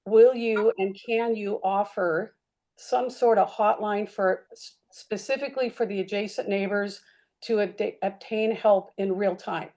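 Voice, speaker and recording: A woman neighbor keeps pressing with follow-up questions at a meeting. Her voice is mellow and her tone is insistent. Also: medium pitch, steady tone, measured pace.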